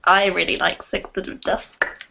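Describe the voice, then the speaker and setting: Woman, slightly low pitch, casual panelist, informal book talk, dull online call sound. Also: flat delivery, unhurried, gravelly, pushed and bright, slight background noise. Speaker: panelist and awards judge. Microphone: video call. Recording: muffled, lo-fi online call audio.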